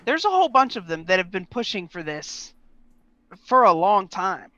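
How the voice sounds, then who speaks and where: A woman's voice: mid-range voice, big pitch swings, moderate pace, bright, forceful tone, no echo, muffled recording. A woman co-hosting a casual talk show, making wry, playful asides. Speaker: co-host. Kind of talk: casual chat.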